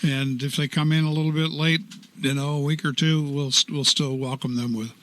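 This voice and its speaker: Bright-voiced older man telling anecdotes. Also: on the high side, fairly even intonation, a little fast, slight background noise. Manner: genial, chuckling anecdotes. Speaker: choral society artistic director, guest. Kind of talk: radio interview, storytelling. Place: radio studio.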